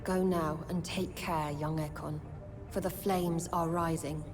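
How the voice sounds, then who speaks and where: An actress playing a wise, cryptic old sewer-dweller with a formal, archaic manner in a video game. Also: female voice, sits low, steady tone, a little slow, over music. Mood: solemn. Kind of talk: dramatic video game acting.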